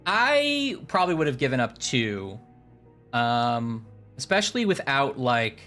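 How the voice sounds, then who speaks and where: A woman's voice: very deep voice, sing-song, measured pace, a bit bright, soft background music. A woman chatting casually on a game livestream, musing and thinking aloud. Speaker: game streamer.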